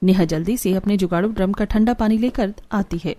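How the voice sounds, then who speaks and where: A woman's voice: medium pitch, a little fast, warm tone. A woman reading a scripted moral story as its narrator. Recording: music behind the voice. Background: background music.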